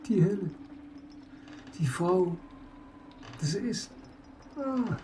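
An actress playing a gloomy household servant who mutters complaints in a lamenting radio drama manner. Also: female voice, fairly low pitch, animated, extremely slow, mellow, a little hiss or hum. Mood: gloomy, muttering. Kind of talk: German radio drama acting.

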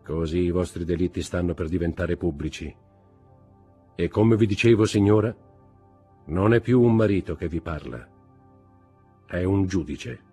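A man's voice: low-pitched, breathy edge, soft background music. A man voicing a stern, merciless magistrate and husband in an audiobook drama, commanding and contemptuous as he interrogates. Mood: stern, judging. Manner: commanding, contemptuous, deliberate interrogation rising to shouts.

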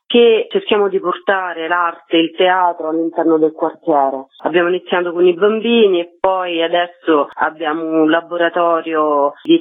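A woman explaining her work as a theatre organiser, a guest in a radio interview. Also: lowish voice. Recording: tinny narrow phone line.

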